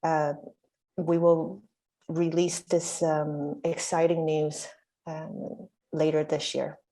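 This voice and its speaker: A woman's voice: deep voice, level tone, slow pace, warm tone, poor audio. A woman born in Taiwan introducing herself on a video call, hesitant with many fillers and restarts. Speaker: country chair and panelist. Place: bright room indoors. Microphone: laptop webcam on a video call.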